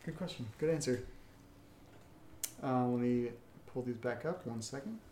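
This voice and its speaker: A man's voice: medium pitch, a little slow, warm tone, slight background noise. Male podcast host in an echoey room. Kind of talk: casual chat, reading listener questions.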